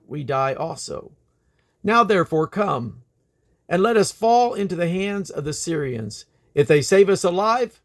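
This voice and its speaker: A man reading the Bible aloud in a deliberate, expressive way. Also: fairly high pitch. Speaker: scripture reader.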